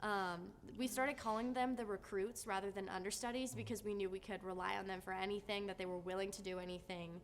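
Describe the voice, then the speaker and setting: A woman's voice: mid-range voice, steady tone, a little fast, few pauses, slight background noise. A young woman, a student theater director, talking reflectively in an interview. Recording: music under the voice.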